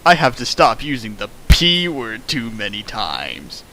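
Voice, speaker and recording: Lively, bright-voiced man reading mockingly. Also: medium pitch, unhurried, slight background noise. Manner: sarcastic, breaks into sudden sing-song and loud asides. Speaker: streamer reading and riffing a fan fic. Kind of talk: comedic dramatic reading with riffing.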